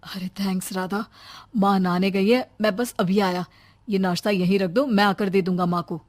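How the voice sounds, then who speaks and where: A woman voicing a young married son, protective and exasperated, in a quick, pleading manner for an animated story. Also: medium pitch, close to an everyday voice. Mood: gentle. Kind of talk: animated Hindi moral story acting.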